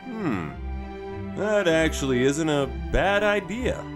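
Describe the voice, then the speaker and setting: A man's voice: fairly high pitch, expressive, takes it slowly. A man playing a curious spaceship crewman in a science fiction audio drama. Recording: music playing under the dialogue.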